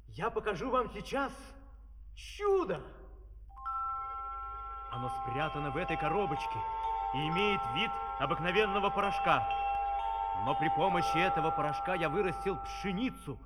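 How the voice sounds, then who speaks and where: Man playing high-voiced, declamatory professor. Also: natural voice. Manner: declamatory, solemn demonstrating. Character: proud, kindly professor and inventor, earnest showman. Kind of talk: theatrical children's opera record acting. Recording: 1970s vinyl record, long reverb.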